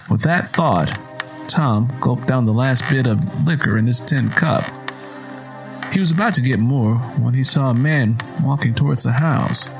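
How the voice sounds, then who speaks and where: Guy narrating an audio story, medium pitch, music playing behind.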